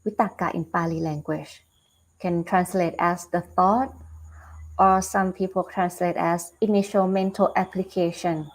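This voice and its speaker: A Buddhist nun with a Thai accent, giving a calm dharma talk over a video call, unhurried with fillers and restarts. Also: female voice, lowish voice, rough recording. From Thailand. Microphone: video call webcam.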